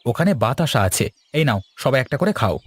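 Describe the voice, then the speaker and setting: A man acting the eldest son-in-law of a cartoon family, stingy and forever finding fault. His voice sits at a middling pitch, over background music. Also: very animated, moderate pace, slightly rough, dry recording. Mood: self-satisfied. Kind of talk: Bengali cartoon story acting.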